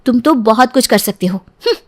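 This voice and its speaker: A woman acts out an emotional young cartoon wife with a very high, girlish voice. Her pitch swoops freely, and she is quick to gush or get jealous. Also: lively intonation. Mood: suspicious, upset.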